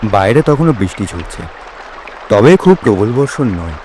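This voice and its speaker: A man playing a detective's friend who narrates a mystery in measured, atmospheric literary storytelling. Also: fairly low pitch, very animated, darkish tone, a faint crowd, slight background noise. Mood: measured, suspenseful. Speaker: narrator in an audio drama.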